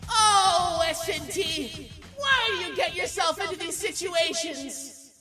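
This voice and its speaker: A woman voicing an excitable, loyal young animal sidekick in a cartoon comic dub, earnest and urgent. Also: very high-pitched, takes it slowly, over music. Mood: worried.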